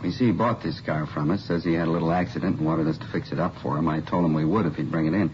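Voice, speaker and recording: An American man playing an eager, excitable used car dealer in a vintage radio crime drama, hurried and insistent. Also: a bass voice. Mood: excited, confident. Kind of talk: 1950s radio acting. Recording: dull, noisy vintage radio recording.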